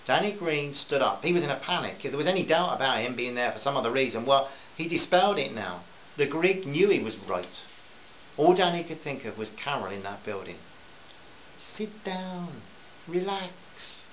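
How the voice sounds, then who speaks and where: A man's voice: mid-range voice, lively intonation, brisk, muffled recording, a little hiss or hum. A man reading his own novel aloud as a serialized audiobook, in a plain storytelling manner. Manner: plain storytelling, warm sign-off to listeners.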